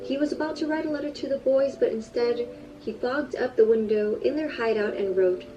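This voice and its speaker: A woman's voice: on the high side, fairly quick, warm tone. Woman narrating over music, distant and muffled. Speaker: video narrator. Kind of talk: scripted narration. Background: background music.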